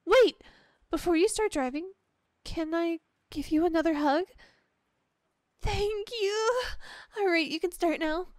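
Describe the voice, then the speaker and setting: A woman's voice: extremely high pitch, unhurried, pauses often, dry recording. A woman playing a clingy, obsessive young girlfriend in an ASMR roleplay, sweet, gushing and emotional, with an apologetic, hesitant way of talking. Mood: excited, loving.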